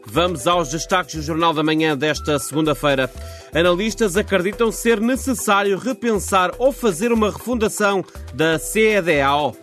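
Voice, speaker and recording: A man's voice: high voice, normal speed, fairly bright tone. A man presenting a scripted morning news programme on the radio. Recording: music bed under voice. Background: music.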